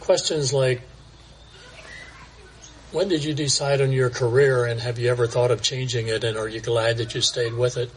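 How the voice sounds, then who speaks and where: Plain-spoken man with soft outdoor ambience. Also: medium pitch, steady tone, normal speed, slight background noise, low-quality recording. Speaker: church member sharing reflection. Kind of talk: casual personal testimony.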